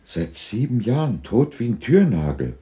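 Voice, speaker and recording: A man's voice: lowish voice, big pitch swings, moderate pace, warm tone, slightly rough, exaggerated voice. A man playing a hard-hearted, miserly old businessman in a radio drama, curt, scornful and dismissive. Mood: disbelieving. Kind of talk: 1960s German radio drama acting.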